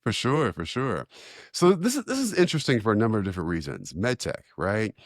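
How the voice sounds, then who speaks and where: Very deep-voiced podcast host, lively. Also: male voice, a little fast, no room sound, clean studio sound. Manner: friendly, rambling, repeats words. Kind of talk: podcast interview.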